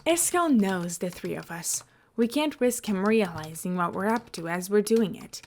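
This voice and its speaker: Woman voicing a smooth, nonchalant male leader. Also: medium pitch, expressive, normal speed, a little mellow, slight background noise, natural voice. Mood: nonchalant, persuasive.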